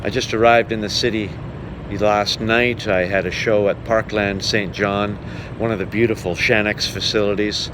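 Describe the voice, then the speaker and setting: A man's voice: fairly low pitch, level tone. A man talking casually and conversationally to viewers, with wry understatement, as he records a travel vlog outdoors. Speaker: vlogging touring musician. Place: outdoors beside river falls. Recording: outdoor noise, traffic and rushing falls.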